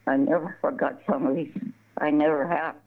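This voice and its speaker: A woman's voice: sits low, fairly even intonation, normal speed, slightly rough. An older woman from southern Colorado, telling stories in a casual interview. She rambles, restarts her words and laughs often. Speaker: guest, embroidery artist. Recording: tinny phone line.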